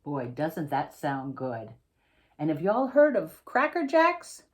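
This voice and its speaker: A woman's voice: deep voice, wildly swooping pitch, slow. A woman author reading a picture book aloud, expressively, and explaining details directly to young listeners.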